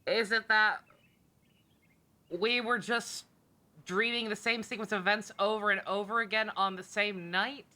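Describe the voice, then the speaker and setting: A woman's voice: mid-range voice, fairly even intonation, a little slow, pauses often, bright, forceful tone. A woman livestreaming a game, chatting casually with viewers in a sweary, wry, sarcastic way. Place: indoor game streaming setup, game music behind.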